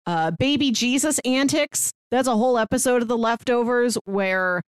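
A woman's voice: fairly high pitch, normal speed, clear, resonant tone, dry recording, clean studio sound. A woman co-hosting a podcast, talking casually and chattily as she reviews stories. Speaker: podcast co-host.

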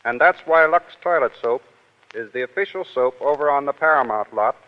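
A man's voice: medium pitch, lively intonation, moderate pace. A man giving a prepared, scripted talk as a guest on a 1930s radio show. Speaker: guest speaker, casting director. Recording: muffled, reverberant 1930s radio recording.

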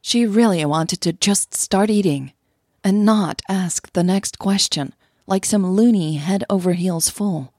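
A woman with a soft, breathy voice, speaking as a podcast host and storyteller. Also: medium pitch, unhurried, no echo, studio quality. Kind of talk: scripted story narration and listener thanks.

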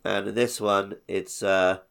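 A man's voice: lowish voice, little pitch movement, measured pace, vocal fry, fairly bright tone, clean tone. A man co-hosting a podcast in a casual, chatty style. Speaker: podcast co-host. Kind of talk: casual chat.

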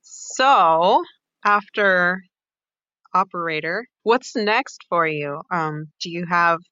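A woman's voice: lowish voice, animated, slow, bright, forceful tone, clear, resonant tone, no echo. A woman co-hosting a podcast, putting questions to a guest in a conversational way, on slightly lo-fi audio. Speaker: podcast co-host, interviewer. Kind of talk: conversational interviewing.

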